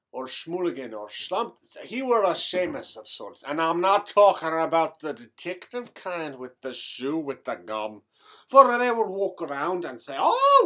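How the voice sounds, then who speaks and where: This is a rambling old Irish storyteller, as a man puts him on, full of broad dialect and tag phrases like "he has." The pitch swings widely from one phrase to the next. He winds through his tale in an improvised comic monologue. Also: bright, forceful tone, full character voice. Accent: put-on Irish.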